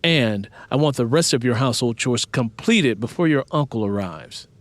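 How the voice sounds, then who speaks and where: A man playing a stern, exasperated father in an audiobook, booming and demanding. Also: animated, normal speed, no echo, natural voice. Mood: stern, annoyed. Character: stern, exasperated father scolding his teenage daughter.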